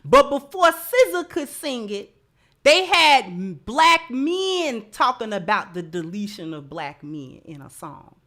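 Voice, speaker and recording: A woman's voice: a little high, very animated, measured pace, a bit bright. An American woman hosting a live commentary show, speaking emphatically and repeating phrases to drive them home. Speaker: show host. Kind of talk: live commentary and sponsor read.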